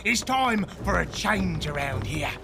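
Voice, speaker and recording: A man playing a sinister mutinous dragon pirate in an audio drama, with a gravelly voice and slow, menacing delivery. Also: high voice, sing-song, over music, full character voice. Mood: rousing.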